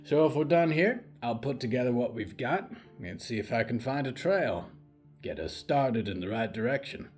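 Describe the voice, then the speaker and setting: A man playing a calm, courteous old cowboy tracker in an audio drama, with a folksy, measured manner. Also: medium pitch, faint music behind, lightly acted. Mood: resolute. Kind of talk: audio fiction story acting.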